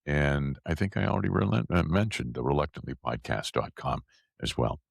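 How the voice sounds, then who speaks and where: A man with a deep voice works as a radio and podcast host. He moves between announcing, sponsor reads and casual interview talk. The sound is dry and close, with very little room in it. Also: lively intonation, moderate pace, creaky, dry recording.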